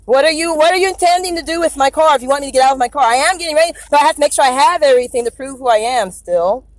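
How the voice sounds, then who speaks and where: A woman's voice: sits high, very fast, no echo, rough recording. A woman talking from inside her car into a phone recording, anxious and rambling, stammering and repeating herself deferentially. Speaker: driver recording a police stop.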